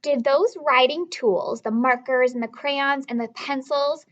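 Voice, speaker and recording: Slow, high-voiced woman teaching young children. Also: dull audio. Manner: expressive, instructive, addressing young listeners directly. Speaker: elementary school teacher. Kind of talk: children's story read-aloud with teaching questions.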